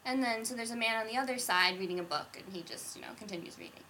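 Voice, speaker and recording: A woman guest on a podcast, telling a story in a casual, animated way and laughing often. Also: fairly high pitch, fast, a little hiss or hum, rough recording. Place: indoors.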